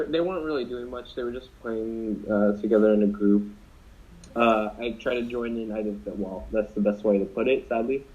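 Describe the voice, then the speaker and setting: A man's voice: mid-range voice, darkish tone. Young man rambling through a story. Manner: rambling, many fillers and restarts. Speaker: podcast co-host. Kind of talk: casual storytelling among friends. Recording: dull lo-fi podcast audio.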